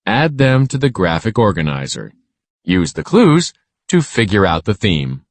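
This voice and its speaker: A man reading a scripted children's lesson aloud in a measured, instructional way. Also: lowish voice, sing-song, no room sound.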